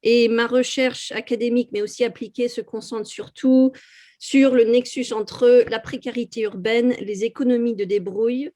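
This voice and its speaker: A woman's voice: fairly high pitch, fairly even intonation, moderate pace, mellow, dry recording. A woman academic, an anthropologist and geographer, introducing herself carefully on a webinar video call, with some restarts. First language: French through her mother.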